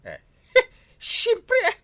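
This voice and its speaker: A man playing a proud, playful village captain who dotes on his buffalo, in an audio drama with an Ilocano accent. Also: very slow. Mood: playful, boastful.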